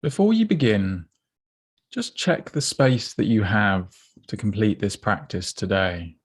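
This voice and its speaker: A man leading a guided body scan meditation in a gentle, unhurried, instructive way, leaving pauses between phrases. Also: lowish voice, expressive, breathy edge.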